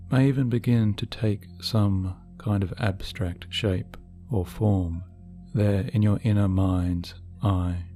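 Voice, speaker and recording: A man narrating a scripted hypnotic guided meditation in a soothing, measured, deliberate manner. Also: lowish voice. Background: soft background music.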